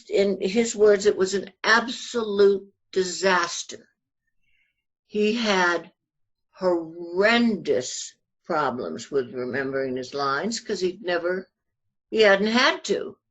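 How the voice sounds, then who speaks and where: Older female interview guest. Low voice, unhurried, halting but animated storyteller. Muffled recording. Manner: halting, animated retelling with acted-out quotes. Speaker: interview guest telling family anecdotes. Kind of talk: conversational storytelling.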